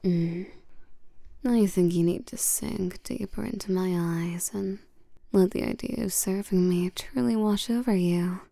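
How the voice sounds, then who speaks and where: Casting: actress as domineering vampire queen for intimate audio roleplay; unhurried, mellow, breathy delivery, teasing and condescending toward humans. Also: on the low side, dry recording, clean studio sound. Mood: seductive, hypnotic. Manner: slow, teasing, domineering, with terms of endearment.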